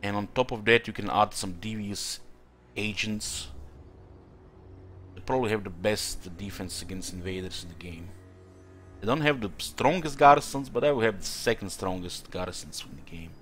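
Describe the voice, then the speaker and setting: A man's voice: lowish voice, normal speed, fairly bright tone, slight background noise. A man from Croatia with a Croatian-influenced accent, commenting live on a strategy game he is playing and thinking aloud with many fillers. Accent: Croatian-influenced English. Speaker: streamer.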